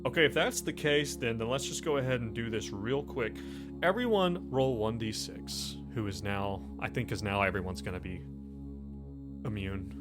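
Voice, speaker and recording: A man narrating a tabletop horror game as game master, describing things in a halting, conversational, improvised way. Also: medium pitch, lively intonation. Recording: music playing under the voice. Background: background music.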